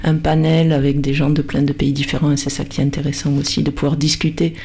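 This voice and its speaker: A woman's voice: low voice, flat delivery, fast, mellow, breathy edge. Hesitant woman guest on community radio. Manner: hesitant, many fillers and restarts. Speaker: radio guest, language association volunteer. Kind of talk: casual radio chat. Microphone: studio mic with headphones.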